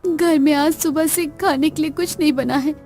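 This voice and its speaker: A poor young cartoon daughter-in-law played by a woman with a very high voice. She is earnest, polite and determined, often pleading. Soft music sits behind her. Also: steady tone, normal speed.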